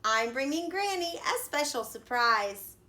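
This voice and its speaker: A woman's voice: high voice, expressive, a little slow, sharp tone, voice pushed up, heavily acted. A woman voicing a cheerful, innocent young girl in a storybook reading.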